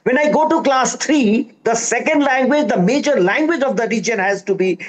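A man's voice: extremely high pitch, normal speed, low-quality recording. A man from Bihar speaks English with an Indian accent, and his first language is Magahi. He is a linguist on a television panel and explains each point insistently, cutting in to hold the floor. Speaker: linguist guest. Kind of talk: TV panel debate.

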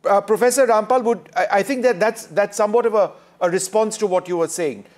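A male TV anchor with a fairly high voice runs a panel debate. He interjects briskly, steering the guests and handing the floor around. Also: darkish tone. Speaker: TV news anchor.

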